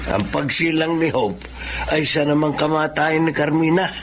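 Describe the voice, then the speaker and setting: An actor playing a jolly, doting, tired old grandfather with a gravelly voice in a Filipino radio drama. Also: fairly high pitch, moderate pace, slight background noise, close to an everyday voice. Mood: amused, reflective. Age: older. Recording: radio drama with clear background ambience.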